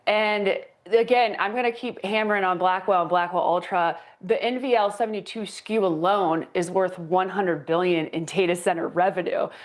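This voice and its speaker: Woman, low voice, tech stock analyst, emphatic, repeats her points. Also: fairly even intonation, moderate pace, hardly any pauses. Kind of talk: financial TV interview.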